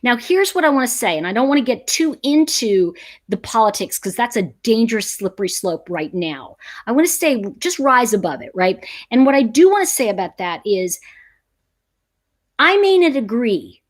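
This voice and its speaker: American woman, middle-aged, fairly high voice, for a chatty livestream host role; emphatic, conversational delivery with repeated stressed phrases. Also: big pitch swings, moderate pace. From United States. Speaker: teacher. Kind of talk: casual livestream chat, reading and answering viewer comments.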